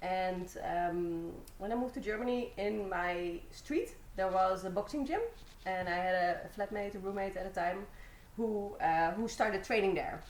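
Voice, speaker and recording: A young woman from the Netherlands, a Muay Thai fighter, telling her story in a relaxed, rambling way with many fillers. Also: mid-range voice, moderate pace, reverberant, poor audio. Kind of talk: casual interview answers.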